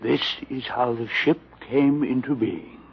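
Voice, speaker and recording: An actor playing a solemn old keeper of a sacred legend, reciting in an incantatory, oracular way in an old radio drama. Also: male voice, medium pitch, unhurried, slightly rough. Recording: muffled old radio recording with music behind.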